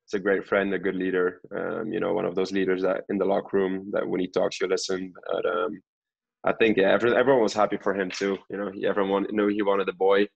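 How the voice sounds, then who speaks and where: Low, even-voiced young man in an echoey room. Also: quick pace, creaky, husky. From Belgium. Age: 20s. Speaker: professional soccer player, podcast guest. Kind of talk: casual interview answers. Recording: echoey room, dull lo-fi sound.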